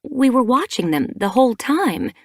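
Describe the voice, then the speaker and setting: A woman's voice: fairly high pitch, very animated, moderate pace, no echo, studio quality, voice pushed up. A woman reading an audiobook, voicing an earnest, defensive mother she-cat who reasons firmly.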